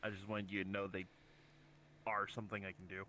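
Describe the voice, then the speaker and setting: Guy with a bright voice just chatting in a roleplay, bit of noise behind. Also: low voice, flat delivery, brisk. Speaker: roleplay player. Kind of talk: casual in-character roleplay chat. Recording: noisy online voice chat.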